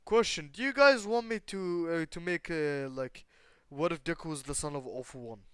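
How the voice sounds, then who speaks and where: Clear-voiced man casually rambling through a story. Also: a little high, a bit bright, dry recording, clean studio sound. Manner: rambling, halting, casual swearing. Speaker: storyteller and host of a fan what-if channel. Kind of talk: casual improvised retelling of anime fan fiction with acted dialogue.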